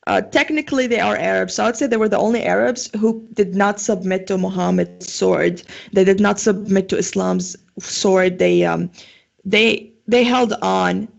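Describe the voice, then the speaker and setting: A woman's voice: medium pitch, vocal fry, darkish tone, highs cut off. A young woman from Syria with a Syrian Arabic accent, talking casually as an interview guest and often filling with "like" and "um". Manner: chatty, lots of "like" and "um".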